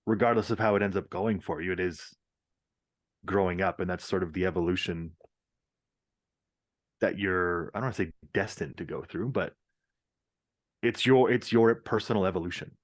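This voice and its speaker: A man's voice: low voice, moderate pace, pauses often. A man co-hosting a podcast, chatting informally and reflectively, with some swearing and hedging. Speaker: podcast co-host. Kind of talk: casual, reflective chat.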